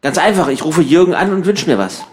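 A man's voice: a little high, expressive, fast talker. A man in a conversational comedy sketch, speaking in a matter-of-fact, skeptical way. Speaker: member of a comic radio play trio.

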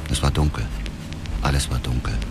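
An actor playing a grief-haunted, obsessive man who narrates a radio crime drama in a brooding, measured way. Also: a bass voice. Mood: haunted, grim. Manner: brooding, measured first-person telling. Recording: music behind the voice.

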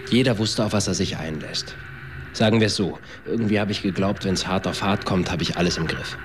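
A man's voice: sits low, brisk, noisy background, natural voice. An actor playing a cocky young man who recounts events in a slangy, clipped, matter-of-fact way in a radio drama. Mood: defiant. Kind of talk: German radio drama acting, confessional narration. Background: music.

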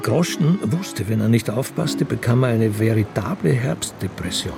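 A male narrator tells a radio drama in a dry, literary style. His voice is mid-pitched with noticeable creak, and music plays clearly underneath as he speaks. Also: big pitch swings, normal speed.

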